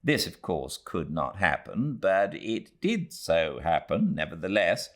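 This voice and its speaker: A man's voice: sits low, big pitch swings, measured pace. A British man reading as a prim, self-righteous widowed housekeeper, formally narrating her testimony in a classic novel. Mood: prim, earnest.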